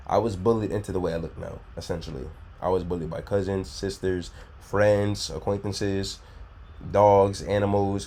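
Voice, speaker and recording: A man with a low voice hosts a casual reaction video. He talks bluntly and preaches his views, with plenty of swearing and filler. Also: a little mellow. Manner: blunt, profane, preachy advice-giving.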